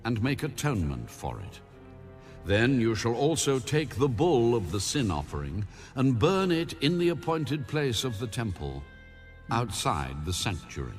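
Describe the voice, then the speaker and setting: A man reading scripture as a scripted narration in a solemn, measured and deliberate manner. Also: medium pitch. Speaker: audio Bible narrator.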